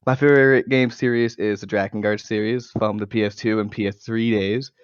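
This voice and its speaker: A young American man with a fairly low voice chats casually as a podcast co-host. The recording is dry and somewhat dull, from a cheap gaming mic. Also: steady tone, a little fast, clean tone, dry recording. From United States. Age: 20s. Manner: occasional stutter. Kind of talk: casual chat. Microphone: low-quality gaming microphone.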